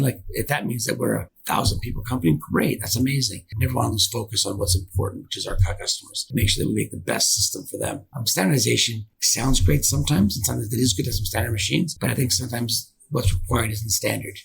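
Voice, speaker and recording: Aussie guy, really creaky voice, talks fast, earnest podcast guest, bit of background noise. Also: medium pitch, a bit bright, poor audio. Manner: earnest, repeats himself for emphasis. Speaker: business founder and director, podcast guest. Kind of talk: conversational interview answers.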